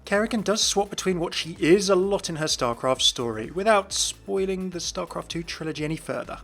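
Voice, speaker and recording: Higher-pitched male video commentator. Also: expressive, a little fast, a bit bright. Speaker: video host and lore commentator. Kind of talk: scripted explanatory commentary. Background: background music.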